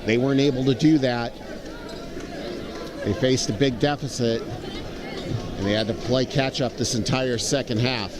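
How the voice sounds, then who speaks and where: An American man giving measured live basketball analysis as a color commentator. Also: mid-range voice, steady tone. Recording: noisy gym with crowd behind. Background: crowd noise in a gym.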